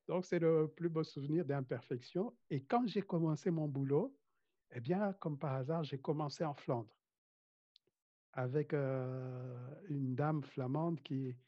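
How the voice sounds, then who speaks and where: A man's voice: fairly high pitch, a little slow. A man from Rwanda who grew up speaking Kinyarwanda, talking casually as an interview guest, hesitant, with many restarts and frequent laughs. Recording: dull lo-fi sound.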